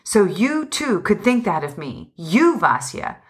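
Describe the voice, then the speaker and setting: A woman's voice: medium pitch, very animated, measured pace, husky, pitched above the performer's own voice. Woman narrator as agitated revolutionary husband, vehement, pleading, self-pitying, grave, storybook drama. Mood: indignant.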